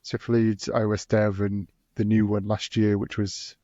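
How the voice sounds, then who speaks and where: A man's voice: lowish voice, flat delivery, a little slow, dark tone, no echo. A man who organizes conferences, chatting on a podcast and restarting his sentences often. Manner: hesitant, restarts a lot. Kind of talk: casual podcast chat. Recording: dry, dull lo-fi sound.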